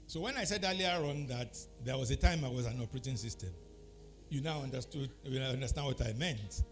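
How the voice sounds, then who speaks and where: A middle-aged or older man with a Nigerian accent gives an anecdotal talk, explaining deliberately in short phrases with pauses. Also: medium pitch, soft background music. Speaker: speaker giving a talk.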